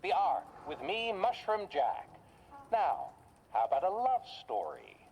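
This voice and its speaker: A woman playing a folksy, eerie radio host who narrates macabre horror tales with theatrical pauses in a video game. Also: fairly low pitch, wildly swooping pitch, a little mellow, slight background noise, exaggerated voice. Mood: macabre, wry.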